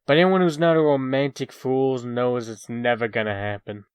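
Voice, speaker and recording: A man's voice: medium pitch, steady tone, moderate pace, clear, resonant tone, dry recording, studio quality, lightly acted. A man playing a cocky, irreverent young schemer in a comic dub, breezy and slangy with a mocking edge. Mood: flippant.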